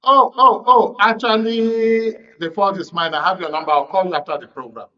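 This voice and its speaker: A man's voice: high-pitched, normal speed, bright, forceful tone. A man with a Nigerian accent hosting a live radio talk show, speaking with guests and callers. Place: radio studio. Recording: distant, dull, muffled broadcast audio.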